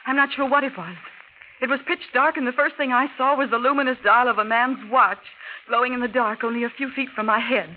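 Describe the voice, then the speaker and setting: An actress playing a shaken society wife in a 1940s radio mystery, recounting with hesitant drama. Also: female voice, high-pitched, fast, close to an everyday voice. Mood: shaken, dramatic. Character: shaken, breathless society wife telling her ordeal. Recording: tinny, noisy 1940s radio recording.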